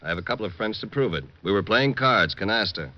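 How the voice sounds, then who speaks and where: A man's voice: lowish voice, expressive, fast talker, natural voice. An actor playing a smug, mock-polite male gambler and club owner with veiled menace in an old radio crime drama. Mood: smug, unruffled. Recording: dull old radio recording.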